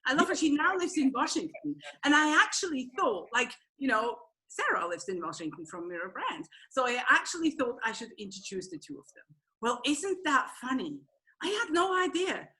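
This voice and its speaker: A woman from Switzerland whose first language is Swiss German chats on a video call with a bright, ringing voice. She is lively and laughs easily. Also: high voice, moderate pace, low-quality recording. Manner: animated, laughs often. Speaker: guest. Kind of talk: casual video call chat.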